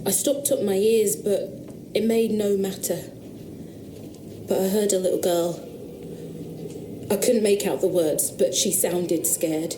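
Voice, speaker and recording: A woman's voice: mid-range voice, steady tone, echoey room, background noise, close to an everyday voice. Woman playing a shaken country girl. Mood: fearful. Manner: halting, hesitant, rustic speech. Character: frightened young country woman, insistent witness. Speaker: witness questioned about noises. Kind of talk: radio drama acting.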